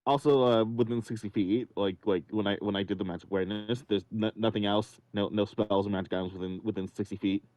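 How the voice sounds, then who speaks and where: A man playing a tabletop game who talks haltingly and often repeats words. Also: lowish voice, steady tone, a little mellow, no echo. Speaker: player.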